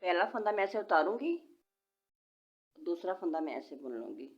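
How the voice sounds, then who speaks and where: A woman walks through a knitting pattern step by step, patiently counting stitches aloud. Her voice sits fairly low and sounds a little changed by a sore throat. The recording is dull and lo-fi. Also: sing-song, normal speed. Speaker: knitting tutorial host.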